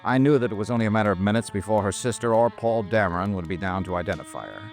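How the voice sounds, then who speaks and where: An actor playing a weary hardboiled American investigator with a soft spot, in 1950s radio detective drama style. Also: male voice, lowish voice, animated, rapid-fire, faint music behind. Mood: grim narration. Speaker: lead actor and first-person narrator.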